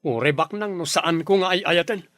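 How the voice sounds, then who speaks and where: A man acts out an earnest young Filipino son defending his love in a radio drama. His voice sits high for a man and sounds frustrated and pleading. The recording is dry and close. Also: moderate pace, a bit bright, no room sound, close to an everyday voice. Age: young adult. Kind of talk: Ilocano radio drama acting.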